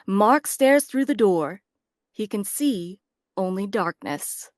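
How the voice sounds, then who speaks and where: A woman's voice: mid-range voice, expressive, slow pace. A woman reading stage directions as scripted narration for a drama.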